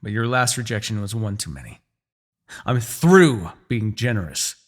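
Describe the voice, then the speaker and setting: A man's voice: fairly low pitch, big pitch swings, normal speed. A man playing a proud, bitter, centuries-old demon king in a fantasy audio roleplay, menacing, theatrical and sardonic. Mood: cold, threatening.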